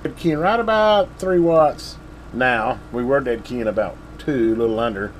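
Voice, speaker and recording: A man's voice: medium pitch, normal speed, a little hiss or hum, poor audio. A man, chatting casually while he reviews a radio at his home ham station. He rambles in an easygoing way, with lots of "uh" fillers. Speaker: radio repair video host. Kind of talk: casual hands-on review chat.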